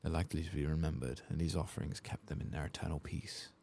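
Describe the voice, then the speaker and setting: Man playing a grave, formal fantasy-game tomb keeper: soft-spoken, very low, gravelly and sorrowful. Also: flat delivery, fast talker, exaggerated voice. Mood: solemn, grateful. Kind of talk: reading fantasy game quest dialogue aloud.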